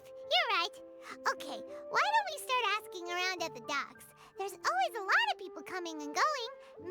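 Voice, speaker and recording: A woman voicing a tiny, excitable, childlike fairy companion in a video game, chirpy and full of exclamations. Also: very high voice, heavily acted. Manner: chirpy, exclamatory, refers to herself by name. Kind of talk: video game cutscene voice acting. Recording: studio voice over playful game music.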